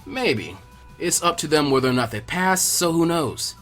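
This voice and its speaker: A man voicing a relaxed, noncommittal masked ninja teacher in an anime fan story. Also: on the high side, moderate pace, soft background music. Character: laid-back masked male ninja teacher.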